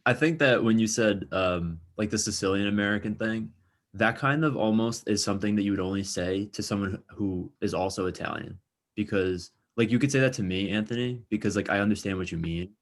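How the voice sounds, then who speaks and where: A young man with a very low voice talks through ideas on a casual podcast. He circles and restarts, full of "like" and "you know.". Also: a little fast. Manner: thinks aloud with many likes and restarts. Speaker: podcast co-host. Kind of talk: casual discussion.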